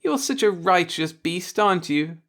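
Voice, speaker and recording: Man putting on a coy, high-pitched playful young woman. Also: expressive, normal speed, a bit bright.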